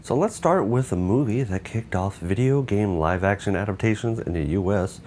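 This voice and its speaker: A man's voice: fairly low pitch, expressive, normal speed, over music. A man narrating a scripted review as host, with emphatic, rhetorical phrasing. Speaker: host of a video game movie review show.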